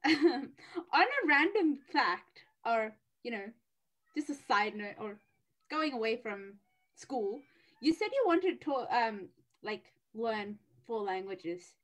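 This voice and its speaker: A woman's voice: a little high, very animated, takes it slowly, a bit bright, rough recording. A young woman hosting a student radio show, bubbly and laughing often; her first language is Tamil. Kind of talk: casual chat.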